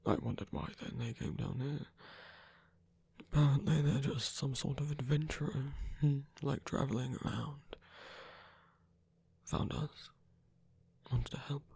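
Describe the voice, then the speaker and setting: Man acting a breathy, gravelly, weary rebel villain. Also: medium pitch, steady tone, dark tone, no echo, full character voice. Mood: earnest, musing. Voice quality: breathy, gravelly, often near a whisper. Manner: hesitant, halting, murmured with pauses. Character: weary, soft-spoken male rebel villain, tenderly teasing lover. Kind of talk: intimate ASMR roleplay audio drama.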